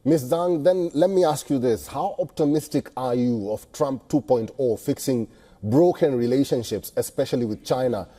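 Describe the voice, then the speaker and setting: A man's voice: medium pitch, moderate pace, warm tone, breathy edge. A man working as a news anchor, presenting scripted news and putting questions in a live interview.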